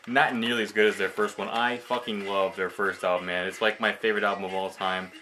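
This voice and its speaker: Bright-voiced young guy, casual and enthusiastic. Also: fairly low pitch, flat delivery, a little fast. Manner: slangy, frequent swearing, enthusiastic. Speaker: video host showing a collection. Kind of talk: casual enthusiastic vlog chat.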